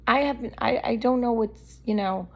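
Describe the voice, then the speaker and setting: A woman's voice: fairly high pitch, moderate pace, darkish tone, husky. A woman vlogger talking straight to camera in a candid, blunt, rambling monologue, her voice a little worn from allergies.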